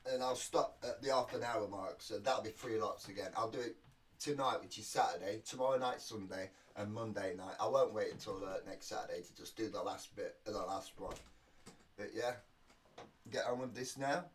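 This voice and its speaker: A man's voice: lowish voice, moderate pace. A man hosting a reaction video in casual, rambling chat, sounding a little sniffly from a cold. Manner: rambling, self-deprecating asides. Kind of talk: casual chatty commentary. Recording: distant mic with faint noise.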